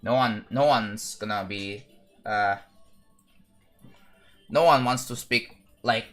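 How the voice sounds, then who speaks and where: Guy streaming and building a level, bright voice, keeps saying like and restarting. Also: fairly low pitch, slow pace, soft background music. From Indonesia. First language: Indonesian. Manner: rambling, full of "like" and restarts, talking to chat. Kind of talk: live-stream chat while building a game level.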